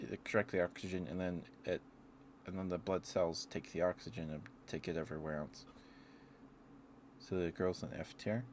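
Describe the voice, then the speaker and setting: A man's voice: low-pitched, slight background noise. A man streaming casual, rambling ASMR chat, musing aloud hesitantly and letting thoughts trail off.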